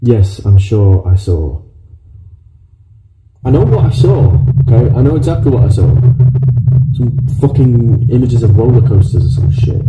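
An actor playing an uneasy technician on a phone call in a horror film, speaking in a halting, naturalistic way. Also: male voice, medium pitch, lightly acted. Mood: agitated, pleading. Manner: hesitant, halting, casual swearing. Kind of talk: naturalistic found-footage horror acting. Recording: muffled, roomy, distant, faint noise.

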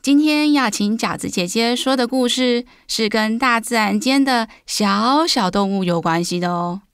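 Clear, high-voiced woman storyteller. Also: a little slow, no echo, clean studio sound. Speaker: guest children's storyteller. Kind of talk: friendly podcast chat for children.

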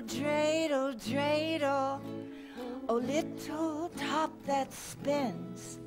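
A middle-aged woman leading children in a sing-along, giving simple instructions and repeating phrases for them to echo. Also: high-pitched. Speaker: children's music leader. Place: large indoor room, on stage before seated children.

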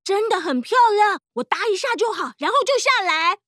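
A woman's voice: very high-pitched, normal speed, no echo, studio quality, full character voice. A woman voicing an eager, reckless young boy in a cartoon. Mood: pleading. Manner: eager, excitable. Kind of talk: cartoon dubbing.

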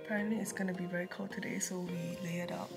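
A woman's voice: lowish voice, flat delivery, fast talker. A young adult woman chatting casually to camera as she vlogs her trip. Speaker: travel vlogger. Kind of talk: casual vlog chat. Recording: loud music over the voice. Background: music.

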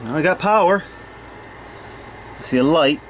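A man's voice: fairly high pitch, expressive, unhurried, a little hiss or hum, low-quality recording. A man giving casual, off-the-cuff repair commentary in a plain-spoken, thinking-aloud way, recorded on a handheld camera in a cluttered workshop. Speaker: hobbyist repairer narrating own video.

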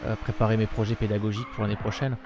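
A man's voice: lowish voice, flat delivery, very fast, muffled recording. A young man chatting casually on a live stream from his room, rambling with lots of fillers. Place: home room with webcam. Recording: noisy stream with game sound.

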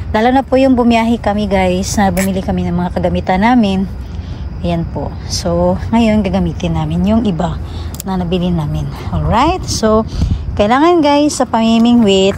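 Young woman, from the Philippines, casual vlog talk, outdoors at night, noisy background. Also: mid-range voice. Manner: chatty, addresses viewers as guys. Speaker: vlogger. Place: outdoors at night by a parked van.

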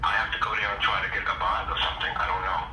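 Older guy on speakerphone, very sharp tone, repeats his words, echoey. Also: deep voice, steady tone, very fast, a little hiss or hum. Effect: phone speaker. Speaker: grandfather being prank-called. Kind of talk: casual phone conversation. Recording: tinny phone speaker, echoey, distant.

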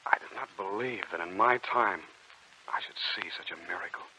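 A man's voice: low voice, moderate pace, bright, forceful tone, slightly rough, full character voice, rough recording. An actor playing a reverent, fatherly soldier and loyal friend in an old radio drama. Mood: reverent, hushed.

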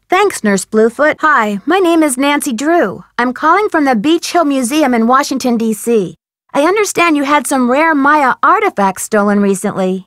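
A bright young American girl detective from a mystery adventure game. Her voice is high and crisply articulated, with an earnest, polite, inquisitive manner. The sound is dry and close, like studio game dialogue. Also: no room sound. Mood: polite, probing. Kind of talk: scripted video game voice acting.

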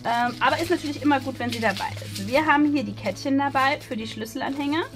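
A woman's voice: high voice, quick pace. A woman chatting casually as she hosts a craft demonstration, thinking aloud while she works. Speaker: host of a craft haul video. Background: music.

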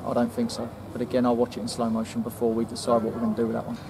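Firm English football manager outdoors. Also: male voice, mid-range voice, monotone, racing, noisy background. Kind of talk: post-match interview. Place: outdoors in front of a sponsor board at night.

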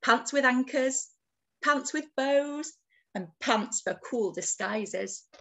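Woman reading as a cheery, high-pitched octopus storyteller. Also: slow pace, a bit bright, exaggerated voice. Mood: cheerful storytelling. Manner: sing-song rhyming delivery.

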